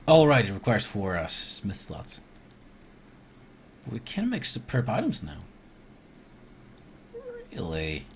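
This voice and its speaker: A man from Iceland doing casual live gameplay commentary, reading game text aloud and thinking out loud as he mutters to himself. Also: low voice, slight background noise. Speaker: video game streamer.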